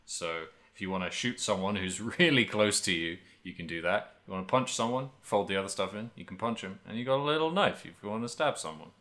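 Deep, bright-voiced man chatting casually. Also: fairly quick, few pauses. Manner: rambling, animated riffs peppered with swearing. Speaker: podcast host. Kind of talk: casual video game chat.

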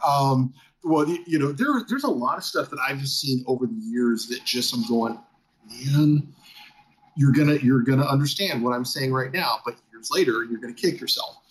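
A man's voice: medium pitch, animated, moderate pace, breathy edge, poor audio. A man from South Texas chatting casually as a podcast host, with lots of fillers and easy laughter. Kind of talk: casual conversation.

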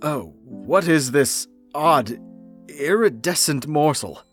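An actor playing a haughty, formal alien prince in an audio drama, declaiming in a pompous, elaborate manner. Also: male voice, a little high, animated, slow, faint music behind. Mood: stately, reluctant.

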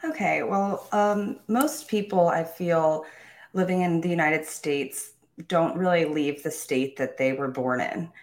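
A woman's voice: low voice, a little slow. A young woman telling her own life story in an interview, upbeat and self-assured, with small laughs and restarts. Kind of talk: candid personal storytelling.

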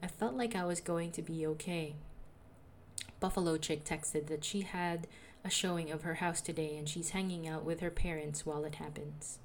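A young woman with a soothing voice, calmly narrating a personal audio diary as a podcaster. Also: low voice, normal speed, a little hiss or hum. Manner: calm, reflective, confessional.

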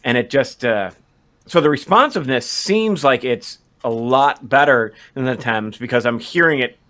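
A man's voice: mid-range voice, expressive, normal speed, a bit bright. A man co-hosting a podcast in casual conversation, often stammering and restarting his phrases. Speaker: podcast co-host.

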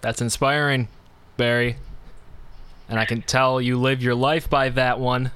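A man hosting a comedic call-in radio show, taking calls with dry, deadpan banter. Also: medium pitch, measured pace, clear, resonant tone.

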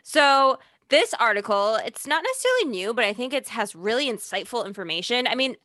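A woman's voice: a little high, very animated, brisk, pushed and bright, dry recording, studio quality. A woman with a Chicago accent co-hosting a podcast, explaining design topics with enthusiasm. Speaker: podcast co-host. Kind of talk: casual podcast chat.

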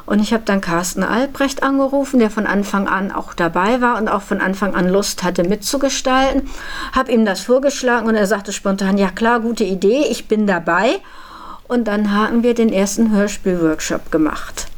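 A woman speaks as an event organiser being interviewed. She tells her story spontaneously, in a voice of medium pitch. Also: moderate pace.

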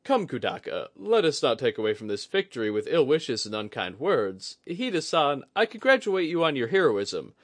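A diplomatic male officer, voiced by a narrator, courteous and controlled. He speaks formally and placatingly, with expressive pitch movement, in a close, dry fantasy audiobook. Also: moderate pace, hardly any pauses, no room sound. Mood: falsely jovial.

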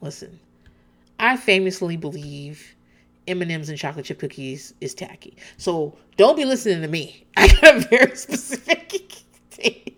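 A woman's voice: fairly low pitch, expressive, moderate pace. A woman host chatting casually as she recaps a reality show, swearing freely and laughing often.

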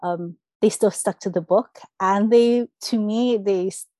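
A woman's voice: mid-range voice, a little slow, warm tone, no echo. A woman speaking as a podcast guest in casual chat, telling things by quoting her own thoughts.

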